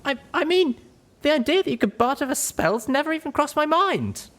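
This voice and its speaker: A man's voice: extremely high pitch, animated, moderate pace, a bit bright, voice pushed up. A man voicing an anxious, devoted young female pony adventurer in an audiobook, earnest and fretful. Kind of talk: audiobook character voice.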